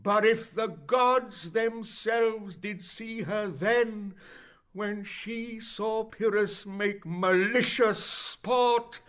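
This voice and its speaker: A high, bright-voiced stage player performed by a man declaims tragic verse. He takes it slowly and solemnly, with theatrical fervour, as in a Shakespearean audio drama. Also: exaggerated voice. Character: travelling stage actor declaiming a tragic war speech, solemn and fervent.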